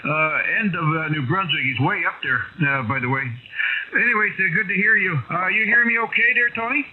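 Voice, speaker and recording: A man in his seventies chatting casually over two-way radio, halting and rambling as he goes. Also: high voice. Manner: halting, rambling, often ending with "eh" or "right". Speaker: amateur radio operator. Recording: tinny band-limited radio with loud static.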